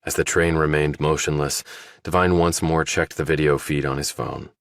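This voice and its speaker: Male narrator, very deep voice, scripted thriller audiobook, dry close sound. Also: steady tone, normal speed, vocal fry, no echo.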